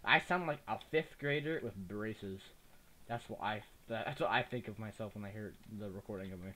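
A man's voice: normal speed, sharp tone. A young man with a slight lisp, rambling casually through a let's play recorded on a cheap microphone. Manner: rambling, chatty, easily distracted. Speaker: gaming YouTuber. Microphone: cheap desktop mic. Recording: low-quality mic with faint noise.

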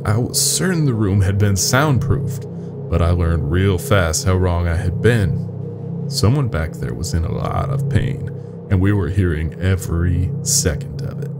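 A man tells a horror story in the first person, his voice very low and full of gravel. He sounds weary and folksy, and his delivery rises and falls dramatically.

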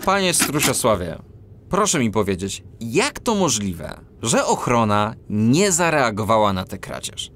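A man's voice: mid-range voice, very animated, measured pace, a bit bright, slight background noise. A man playing an earnest, bashful cat police detective, narrating and acting in a children's audio drama. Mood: businesslike.

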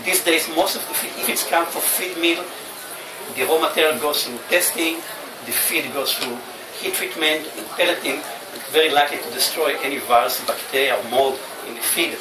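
A middle-aged man from Israel speaks with an Israeli accent, teaching an expo crowd in a halting way with repeated words. He is heard from a distant camera in an echoey tent. There is a steady noise behind him. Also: fairly high pitch. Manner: halting, repeats words, non-native phrasing. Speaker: poultry vet presenter. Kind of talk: expo lecture. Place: large tent at an outdoor expo. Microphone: headset mic, recorded by far camera.

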